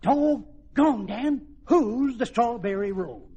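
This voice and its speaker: An actor playing an excitable rustic Texan cowboy sidekick who hollers folksy exclamations in a drawl, in old-time radio comedy style. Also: male voice, very high voice, slow pace, slightly rough, full character voice. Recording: muffled, hissy old radio recording.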